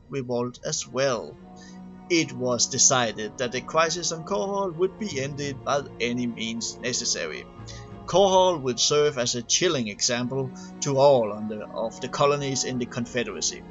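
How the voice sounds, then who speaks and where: A man reading a scripted narration aloud in a halting way, often stumbling over and repeating words. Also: medium pitch. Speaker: amateur reader of game lore. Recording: dull lo-fi home recording, music behind. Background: background music.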